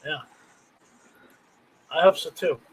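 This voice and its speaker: Guy hosting a casual stream, kinda high voice, halting and rambly, repeats himself a lot. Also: slight background noise, rough recording. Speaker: livestream host. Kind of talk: casual unscripted chat about gear.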